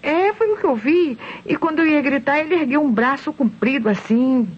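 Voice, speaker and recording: A woman's voice: high voice, animated. A Brazilian actress playing a frightened widow in a vintage radio mystery, telling what she witnessed with dramatic fear. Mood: tense, insistent. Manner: fearful, dramatic, deliberate storytelling. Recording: noisy, muffled old radio recording.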